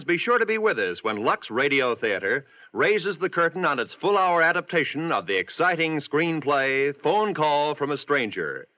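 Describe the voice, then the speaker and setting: A man's voice: medium pitch, bright, forceful tone. A man reading a scripted announcement in the style of a 1950s American network radio announcer. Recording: muffled old-time radio recording.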